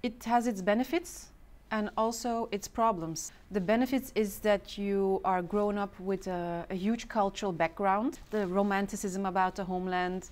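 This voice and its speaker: A young woman from Limburg in Belgium, answering interview questions in a thoughtful, measured way. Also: medium pitch, steady tone, a little mellow. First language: Turkish heritage, grew up speaking several languages. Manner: thoughtful, measured, switches between languages. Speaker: interview guest.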